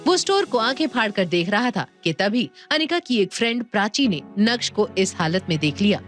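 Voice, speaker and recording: A woman's voice: medium pitch, very animated, moderate pace, soft background music. A woman narrating a scripted dramatic audio story.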